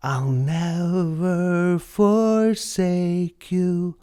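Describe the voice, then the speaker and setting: A faithful, loving storybook father sings to his son, played by a male narrator. The sung voice is high for a man, with long drawn-out notes in a very slow lullaby. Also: fairly even intonation, warm tone, clean tone. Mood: tender, devoted.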